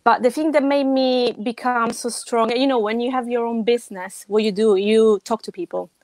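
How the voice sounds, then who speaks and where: A woman from Italy with a strong Italian accent, telling her story as a podcast guest in a lively, emphatic way. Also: fairly high pitch, normal speed, no room sound, poor audio. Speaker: podcast guest, web designer. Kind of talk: casual interview talk.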